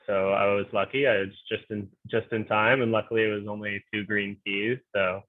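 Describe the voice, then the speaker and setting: A man's voice: fairly low pitch, level tone, normal speed, dry recording. A man from Ontario, co-hosting a casual game fan livestream, talking in an easygoing way with many fillers. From Ontario, Canada. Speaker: co-host, game fan group. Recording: dry, tinny phone-like audio.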